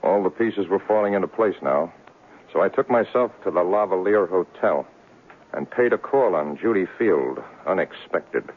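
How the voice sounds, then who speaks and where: An actor playing a tough, cocky private investigator in a 1970s radio drama, talking in clipped slang. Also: male voice, low-pitched, animated, normal speed, slight background noise. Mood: hard-boiled, narrating. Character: tough hard-boiled male private investigator, cynical and cocky. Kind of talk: 1970s radio drama acting, noir narration. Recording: muffled old radio broadcast.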